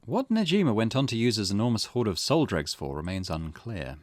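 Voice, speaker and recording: A man as a low, solemn mystery narrator. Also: sing-song, fairly quick, no room sound, studio quality. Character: solemn narrator of a dark occult mystery story. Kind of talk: scripted narration read aloud from a visual novel. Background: game music.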